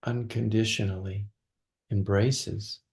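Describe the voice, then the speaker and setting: A man leading a guided meditation as a teacher, speaking gently and slowly with long pauses between phrases. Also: fairly low pitch, fairly even intonation, gravelly.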